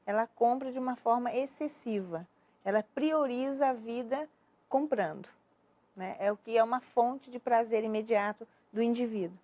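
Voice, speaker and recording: A woman's voice: a little high, moderate pace, warm tone. A woman psychologist, a guest on a TV show, explaining a disorder and often adding tag questions. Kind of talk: TV interview answers.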